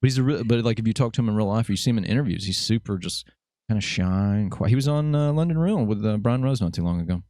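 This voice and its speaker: A man's voice: on the low side, lively intonation, fast talker, darkish tone, no echo, clean studio sound. A man hosting a casual podcast interview, chatty and joking. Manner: chatty, jokey, does playful voices. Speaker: podcast host.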